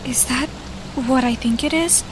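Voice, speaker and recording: A woman's voice: sits high, measured pace, background noise. An actress playing an awed young out-of-town woman in an audio drama, tentative and full of wonder.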